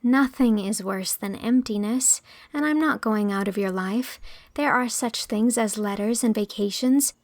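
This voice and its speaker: A woman's voice: a little high, measured pace, no room sound, close to an everyday voice. A woman voicing a kind, sensible young schoolteacher who sounds sincere and reassuring in an audiobook story. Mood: tender. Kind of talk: dramatised audiobook acting.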